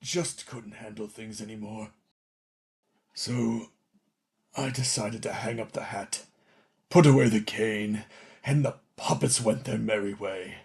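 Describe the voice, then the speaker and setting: A man playing a washed-up older children's TV entertainer in a radio play, contrite and theatrical, with a creaky voice. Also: on the low side, a little mellow. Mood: weary, confessional. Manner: dramatic, halting pleading and grand showman delivery.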